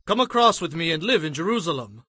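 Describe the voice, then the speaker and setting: A man's voice: on the high side, sing-song, quick pace, pushed and bright, dry recording, studio quality, full character voice. An actor playing a decisive, magnanimous king in a dramatized scripture reading, commanding and declamatory. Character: authoritative grown male king, decisive, magnanimous.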